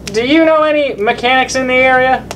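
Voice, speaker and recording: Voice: sits high, brisk, fairly bright tone, a little hiss or hum, low-quality recording. A performer voicing a mild, good-natured dad puppet in a children's show, hesitant and folksy.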